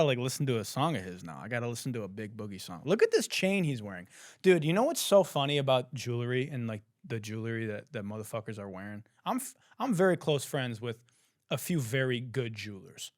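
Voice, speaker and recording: Young male podcast host, incredulous comic ranter, slightly hoarse, very expressive, dead-dry sound. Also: mid-range voice, dry recording. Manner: profane, laughing, incredulous ranting. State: hoarse, says he has lost his voice. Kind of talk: casual comedic commentary.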